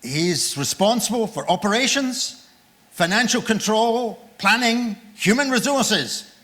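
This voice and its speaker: An older man with a loud voice, chairing a conference session and formally introducing a guest speaker after a joking opening. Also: high voice, expressive, measured pace. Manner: jokey, then reads out a guest's biography. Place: conference room.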